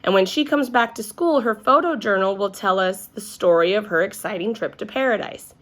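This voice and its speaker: A woman's voice: mid-range voice, brisk, fairly bright tone, natural voice. Woman reading as a patient male schoolteacher. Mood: reassuring. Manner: explanatory, encouraging. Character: patient, kindly male first-grade teacher.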